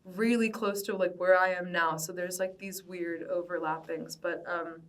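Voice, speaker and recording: A woman's voice: on the low side, steady tone, normal speed. Young American woman, slightly distant mic. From Cincinnati, United States. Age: young adult. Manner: frequent "like", loose and informal. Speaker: band vocalist being interviewed. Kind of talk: casual conversational oral history.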